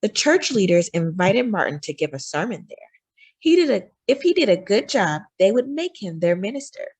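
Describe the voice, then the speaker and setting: Expressive, husky-voiced woman reading to children. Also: medium pitch. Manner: expressive, deliberate phrasing for young listeners. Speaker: children's story time host and reader. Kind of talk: read-aloud of a children's picture book.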